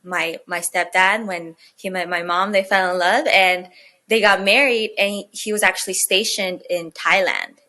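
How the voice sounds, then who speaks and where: Bright-voiced woman, chatty. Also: lowish voice, fairly even intonation, measured pace. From Kazakhstan. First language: Russian. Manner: chatty, full of "like" and "you know" fillers. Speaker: guest rapper. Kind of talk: casual interview storytelling.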